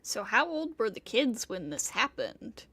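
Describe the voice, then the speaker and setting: Slow-talking, animated woman streamer. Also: a little high, slightly rough, no echo. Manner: musing aloud, unhurried. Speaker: game streamer. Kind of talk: casual livestream commentary.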